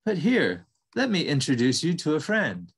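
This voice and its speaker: A kindly storybook raccoon who calls the mouse "little brother". His speech is calm and reassuring, and it rises and falls a lot, with a man voicing him on a video call. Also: fairly high pitch, moderate pace, breathy edge, full character voice. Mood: gentle. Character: kindly older male raccoon guide.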